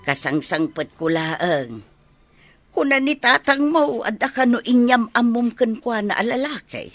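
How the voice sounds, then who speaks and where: A middle-aged village mother in a radio comedy drama. She is nosy and bossy, with a lively swing in pitch. The sound is close and dry. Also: measured pace, dry recording, soft background music. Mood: probing.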